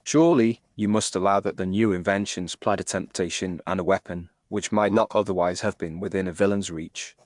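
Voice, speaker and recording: An actor with a British accent playing a reflective Victorian gentleman doctor, earnest and formal, in a dramatised story. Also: low-pitched, fairly even intonation, no echo, clean studio sound, close to an everyday voice. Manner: formal, measured literary phrasing. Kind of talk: first-person dramatised narration.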